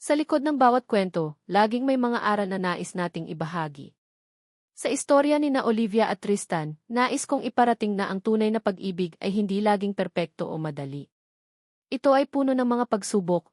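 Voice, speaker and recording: A woman narrates a romance story in a soft, heartfelt, unhurried voice at a middle pitch. The recording is dry and close, with no room sound around her. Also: dry recording. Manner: soft, heartfelt, measured reading. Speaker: storyteller and author.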